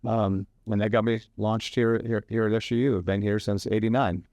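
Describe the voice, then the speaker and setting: A man's voice: on the low side, steady tone, normal speed, a little mellow, no room sound. A man in his sixties, a professor from Hawaii, talking through his career on a radio interview with many fillers and restarts. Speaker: professor and guest.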